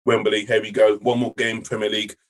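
A young man co-hosting a casual podcast, hoarse from shouting, excitable and quick to laugh. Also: fairly low pitch, slight background noise. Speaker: podcast co-host. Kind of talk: casual chat.

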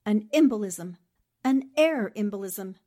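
Resolute American girl reporter, acted by a woman, 1940s radio mystery. Earnest, dramatic, very lively intonation. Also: mid-range voice, a little slow, lightly acted. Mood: sharp deduction. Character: brave, serious 1940s newspaperwoman investigator.

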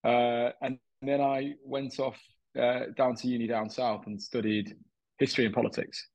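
A man in his thirties from Manchester, a guest chatting in a relaxed, self-deprecating way with many small fillers. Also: mid-range voice, moderate pace, no echo. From Manchester, England. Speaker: guest, documentary maker. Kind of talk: casual interview chat.

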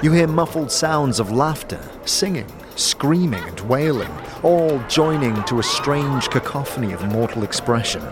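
A man's voice: mid-range voice, animated, a little slow, hardly any pauses, music in the background. A man giving dramatic, theatrical scripted narration for a fantasy audio drama, telling the story vividly in the second person.

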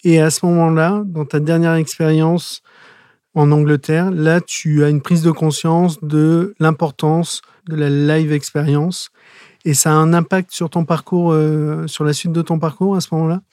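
A man's voice: a little high, little pitch movement, unhurried, darkish tone, smooth, dry recording, clean studio sound. A man in his forties hosting a podcast interview, hesitant with many fillers, rephrasing his guest's points. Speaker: podcast host. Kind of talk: interview questions.

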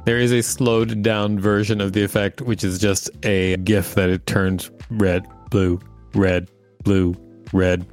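A man's voice: on the low side, little pitch movement, slow, darkish tone, faint music behind. A man co-hosting a podcast, reading an article aloud and breaking off for dry joking asides. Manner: dry, joking asides between read passages. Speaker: podcast co-host.

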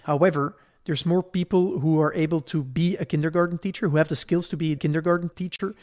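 Higher-pitched man explaining things step by step. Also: darkish tone. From Belgium. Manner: didactic, step-by-step, checks in with "right?". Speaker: podcast host explaining investing. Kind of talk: explanatory conversational podcast talk.

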